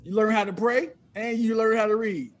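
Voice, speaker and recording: Joking man with a higher-pitched voice. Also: steady tone, a little fast. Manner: joking, slangy, playful. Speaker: podcast guest, comedian. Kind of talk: casual comedic chat.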